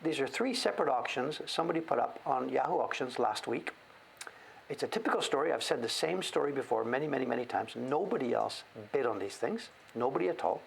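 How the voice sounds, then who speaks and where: A man's voice: mid-range voice, lively intonation, brisk, creaky, a little hiss or hum, rough recording. A middle-aged man hosting a show-and-tell, chatting casually in a rambling, self-deprecating way. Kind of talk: casual livestream chat.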